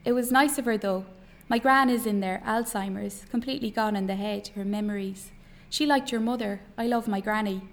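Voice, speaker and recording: A woman's voice: mid-range voice, fairly even intonation, fairly quick, hardly any pauses, close to an everyday voice. Woman reading as an insistent young Irish woman. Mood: earnest, chatty. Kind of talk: novel reading aloud.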